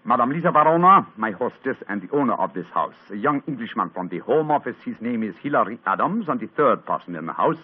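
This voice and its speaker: An actor playing a suave detective disguised as a German count, speaking with a put-on German accent. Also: male voice, mid-range voice, big pitch swings, quick pace, few pauses. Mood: courtly. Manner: crisp, poised, feigned German accent in disguise. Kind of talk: 1940s radio acting. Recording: tinny old radio broadcast.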